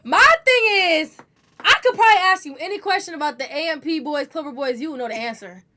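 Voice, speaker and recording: A woman's voice: high-pitched, expressive, quick pace, heavy background noise. A woman of twenty, a streamer, asking questions and chatting playfully with her guest. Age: 20s. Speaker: streamer interviewer. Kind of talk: casual interview chat.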